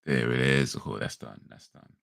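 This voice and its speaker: A man talks casually on a livestream in a very deep, gravelly voice. He rambles and corrects himself with laid-back slang, his throat a little crackly. The sound is close and dry, with no room around it. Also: steady tone, normal speed, a bit bright, no room sound. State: slightly crackly voice. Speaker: livestream host. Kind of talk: casual chat.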